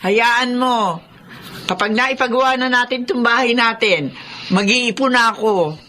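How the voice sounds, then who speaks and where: A woman's voice: a little high, unhurried, pushed and bright. An actress playing an indulgent, emotional mother in a Filipino radio drama.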